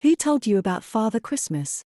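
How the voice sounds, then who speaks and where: A woman playing a sensible, skeptical young mother in an audio drama, talking in a measured, firm way to a small child. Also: on the high side, very animated, dark tone, no echo, clean studio sound. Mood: gently dismissive.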